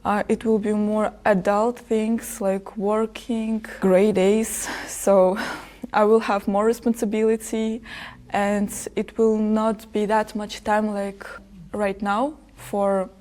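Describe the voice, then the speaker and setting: A young woman, a student, talking thoughtfully on a podcast with slow, hesitant phrasing. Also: mid-range voice, little pitch movement, dark tone. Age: young adult. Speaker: podcast guest. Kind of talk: casual conversation. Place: podcast studio.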